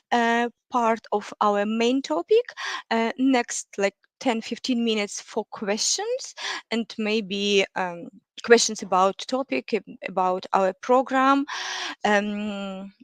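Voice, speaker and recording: A woman in her thirties from Ukraine hosts an online webinar from an office, speaking English with frequent pauses and fillers. Her voice sits at an ordinary pitch and sounds dry and close, as if recorded on a computer mic. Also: dry recording. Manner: hesitant, many fillers, simple non-native English. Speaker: webinar host, marketing manager. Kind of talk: live webinar introduction.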